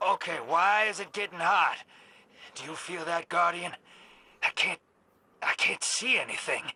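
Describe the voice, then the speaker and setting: An actor playing a brash, sarcastic rogue in a video game, irreverent and quick to irritation. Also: male voice, high-pitched, pushed and bright, slightly rough, heavily acted. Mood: uneasy, irritated. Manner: wisecracking, irreverent. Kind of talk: video game cutscene voice acting.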